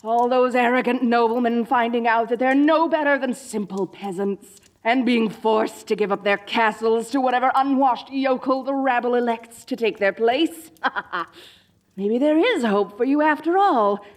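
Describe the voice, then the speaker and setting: A woman's voice: fairly high pitch, measured pace, lightly acted. A woman playing a dorky evil sorceress queen in a comedic audio roleplay, theatrical, gloating and petulant, talking straight to the listener. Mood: delighted. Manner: theatrical, gloating, mock-menacing.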